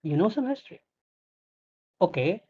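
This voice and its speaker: A man interviewing a guest in casual chat, phrasing his questions haltingly and restarting often. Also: high-pitched, very animated, slightly rough. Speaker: interviewer, server admin. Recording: dull lo-fi mic.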